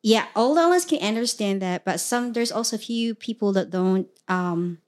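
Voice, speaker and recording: A woman with a Filipino accent chats on a casual podcast, soft-spoken and hesitant. Her first language is Tagalog, and she often pauses and fills gaps as she searches for words. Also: medium pitch, animated. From Philippines. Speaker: podcast co-host. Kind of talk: casual chat.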